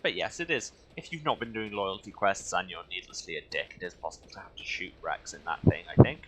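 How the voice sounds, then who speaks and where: A man's voice: low-pitched, very animated, fairly quick, sharp tone, slight background noise. A man streaming a video game with casual, wry, grumbling commentary and a sardonic way of talking.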